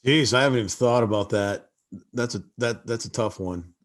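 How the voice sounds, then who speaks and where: A man's voice: mid-range voice, moderate pace. A man on a panel, talking casually and eagerly over a video call, often trailing into asides.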